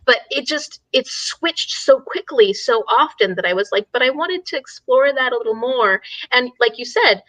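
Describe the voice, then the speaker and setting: A woman's voice: a little high, lively intonation, normal speed, hardly any pauses, sharp tone, no echo, poor audio. A woman hosting a casual book discussion in an engaged, agreeable manner.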